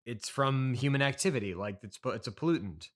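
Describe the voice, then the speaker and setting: A man's voice: mid-range voice, fairly quick. A man hosting a casual comedy interview, asking probing questions in a hesitant, straight-man way. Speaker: podcast host, interviewer. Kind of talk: casual improvised comic interview.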